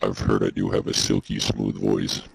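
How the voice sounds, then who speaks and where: A man's voice: monotone, moderate pace, no echo, exaggerated voice, low-quality recording. A man voicing a dry, skeptical male robot co-host who takes things literally, in a comedic in-character podcast. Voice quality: very deep gravelly voice.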